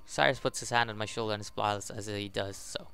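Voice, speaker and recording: A man hosting a gaming video, reading a visual novel aloud in a halting way, with casual commentary. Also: lowish voice, steady tone, creaky, bright, forceful tone, clear, resonant tone, soft background music. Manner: halting, stumbles over the text.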